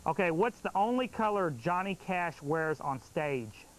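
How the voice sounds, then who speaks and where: A man hosting a live call-in show casually, brisk and informal as he moves things along. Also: high-pitched, expressive, fairly bright tone. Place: public access television studio. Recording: noisy old public access TV audio.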